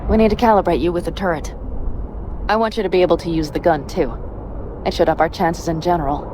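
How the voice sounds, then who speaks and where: An actress playing a tough young woman mercenary in a video game, brisk, practical and wry. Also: lowish voice, fairly even intonation, lightly acted. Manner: brisk, practical, wry, casually foul-mouthed. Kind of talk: video game cutscene dialogue, naturalistic. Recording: clear vehicle and ambience noise behind.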